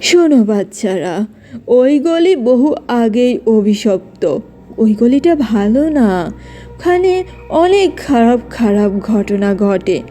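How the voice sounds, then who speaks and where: A woman's voice: a little high, lively intonation, takes it slowly, warm tone, soft background music, voice pushed down. A woman voicing a kindly, protective old grandmother in a cartoon, grave and reassuring as she tells stories. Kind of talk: children's cartoon acting.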